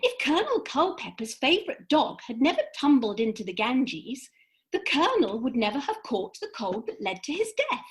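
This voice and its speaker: Woman doing a bossy fairy lady, really high voice, wildly sing-song, lecturing like in a comedy radio play. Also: very animated, moderate pace. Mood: lofty, lecturing. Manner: emphatic, precise, lecturing. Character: brisk, bossy female fairy, lofty and theatrical. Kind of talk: theatrical comic radio play acting.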